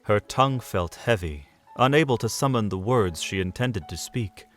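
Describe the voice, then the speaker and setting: A man narrating an audio drama in an expressive storytelling manner. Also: fairly low pitch, moderate pace, soft background music.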